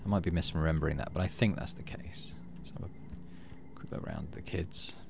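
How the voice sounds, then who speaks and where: A man hosting a gaming video, mixing casual commentary with expressive reading aloud of story text. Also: sits low, normal speed, creaky, in a whisper.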